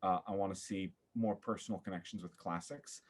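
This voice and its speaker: A man giving a thoughtful interview as a podcast guest, searching for his words with an earnest, halting manner. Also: low voice, fairly even intonation. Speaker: podcast guest, theater artist.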